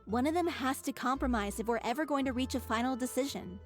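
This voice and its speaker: A woman's voice: high voice, fast talker, faint music behind, close to an everyday voice. A woman playing a firm, reasoning troupe member.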